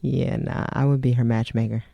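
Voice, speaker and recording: Gravelly laid-back woman co-host. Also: very deep voice, fairly even intonation, normal speed, mellow, no room sound, dull audio. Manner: joking, laid-back, lots of laughter. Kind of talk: casual chat.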